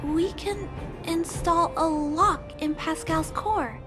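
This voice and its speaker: A kind-hearted young girl speaks in a very high, soft voice, sincere and a little hesitant. Game music plays clearly behind her. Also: takes it slowly. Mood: pleading. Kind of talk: game cutscene voice acting. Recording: studio dub with music underneath.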